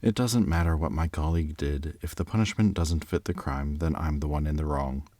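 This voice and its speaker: A man's voice: a bass voice, fairly quick, a little mellow, no echo. A man playing a polite, reflective and charming diplomat candidate in an audio drama, speaking in a courteous, thoughtful way. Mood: earnest, contrite. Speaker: job candidate. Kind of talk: audio drama acting, conversational interview answers.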